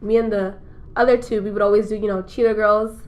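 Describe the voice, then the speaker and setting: A woman's voice: medium pitch, little pitch movement, fast talker. A nineteen-year-old woman guest chatting casually on a podcast, giggly and upbeat as she tells her story. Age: young adult. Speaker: guest, cosmetics founder.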